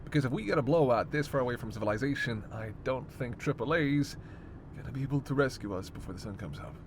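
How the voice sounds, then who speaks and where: A man's voice: medium pitch, fast talker, noisy background, lightly acted. A man playing a sarcastic, teasing immortal vampire passenger in a roleplay audio drama, needling and foul-mouthed with theatrical exasperation. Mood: irritated, needling.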